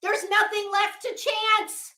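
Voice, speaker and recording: Slow, high-pitched woman giving exasperated commentary. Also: monotone, pushed and bright. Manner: emphatic, exasperated, sarcastic, swearing freely. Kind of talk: opinionated legal commentary, unscripted. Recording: roomy, echoing room sound.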